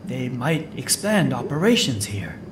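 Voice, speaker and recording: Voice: medium pitch, very animated, a little slow. An actor playing a grave, commanding mission team leader in a video game. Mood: grave briefing. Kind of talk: video game cutscene acting. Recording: clear background noise and ambience.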